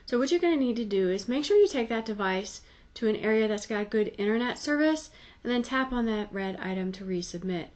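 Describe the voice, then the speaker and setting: A woman's voice: on the high side, animated, fairly quick, hardly any pauses, a little mellow. A woman giving a conversational, explanatory tutorial voice-over in a plain-spoken, instructive manner. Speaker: tutorial presenter.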